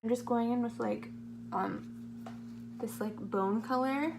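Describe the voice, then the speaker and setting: A 21-year-old woman with a slightly hoarse voice, chatting casually through a makeup tutorial in a rambling, self-deprecating way. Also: a little high, slow pace. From California, United States. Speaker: beauty vlogger. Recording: amateur home video, clear humming background noise.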